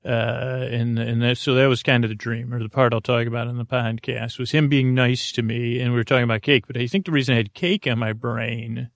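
Meandering man with a creaky, even voice. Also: mid-range voice, fairly quick, hardly any pauses, no room sound. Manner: meandering and hesitant, trailing off and doubling back. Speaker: podcast host. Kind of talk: rambling, casual monologue.

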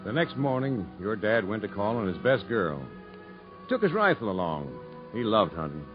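A man's voice: on the low side, very animated, normal speed. A reflective, kindly narrator from 1950s radio drama. His mid-range voice rises and falls expressively as he tells a family tale, with music behind and a muffled vintage sound. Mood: storytelling. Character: kindly, reflective man telling a boy family history. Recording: dull 1950s radio broadcast recording.

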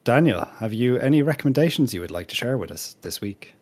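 A man's voice: medium pitch, animated, quick pace, heavy background noise. A man hosting a casual panel podcast, rambling a little and swearing freely. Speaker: podcast host.

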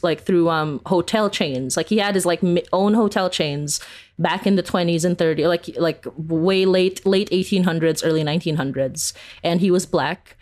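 A woman's voice: fairly low pitch, darkish tone. A woman answering interview questions casually and conversationally, often saying "like" and chuckling as she explains. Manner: peppers talk with "like", chuckles while explaining. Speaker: interview guest, audio fiction creator.

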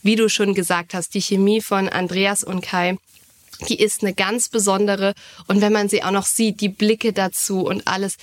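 Woman podcast co-host, normal pitch, chatty and enthusiastic, flows easily. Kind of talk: casual chat, telling anecdotes.

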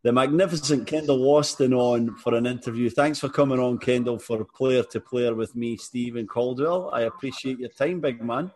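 A man's voice: medium pitch, moderate pace, few pauses, a little mellow. A man hosting an interview podcast, friendly and upbeat, calling his guest mate. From Scotland. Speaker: podcast host, former footballer. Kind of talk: casual interview chat.

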